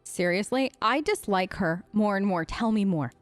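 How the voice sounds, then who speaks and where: A woman hosting a let's play, improvising in-character dialogue in a chatty, expressive, reactive way. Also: medium pitch, normal speed, no echo, faint music behind. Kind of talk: improvised in-character role-play over a game.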